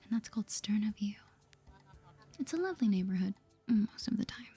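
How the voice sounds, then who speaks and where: A woman whispering soft-spoken ASMR commentary over a video game, chatty and gentle, reading dialogue aloud in a breathy voice. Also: mid-range voice, animated, fairly quick. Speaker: gaming video host. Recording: soft music behind the voice.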